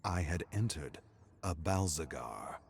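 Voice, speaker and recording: A grave explorer of a dark void, played by a man, reads out a journal in a low voice. The delivery is measured, scripted game storytelling. Faint noise sits behind the words. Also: animated, creaky, heavily acted. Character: explorer recounting grim encounters in a dark void. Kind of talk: scripted first-person journal narration.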